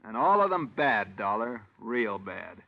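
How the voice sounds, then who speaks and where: A man's voice: on the low side, sing-song, unhurried, creaky, full character voice. A man playing a sardonic, beaten-down architect with weary, eloquent delivery in a vintage radio drama. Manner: weary, cynical, theatrically eloquent. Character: bitter, beaten, sardonic male small-town architect. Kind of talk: 1950s radio acting. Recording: dull old radio recording.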